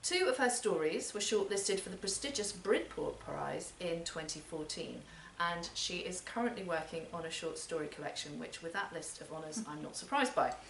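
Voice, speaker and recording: A woman's voice: on the low side, expressive, moderate pace, creaky, few pauses. A woman hosting a live literary event, giving a prepared spoken introduction. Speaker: event host introducing readers. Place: performance space in a library centre. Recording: roomy, distant mic, background noise.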